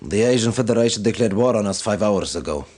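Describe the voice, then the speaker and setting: An actor playing a stern, devout military commander who gives solemn, formal and deliberate briefings in a video game. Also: male voice, fairly low pitch, lively intonation, a little mellow. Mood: grave, measured.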